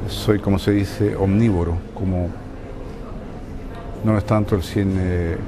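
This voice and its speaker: An older Chilean man speaks in a lowish voice, hesitating and hunting for the right words. He is being interviewed out on a city balcony, with clear outdoor noise behind him. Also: fairly even intonation, slow. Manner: hesitant, searching for words with many fillers. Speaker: interviewee, filmmaker. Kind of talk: casual interview answers.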